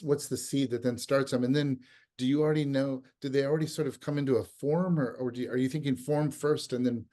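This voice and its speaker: Male interviewer, middle pitch, halting and searching for words; video-call conversation. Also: dark tone. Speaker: poet, interviewer. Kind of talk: conversational questions. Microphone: computer mic on a video call.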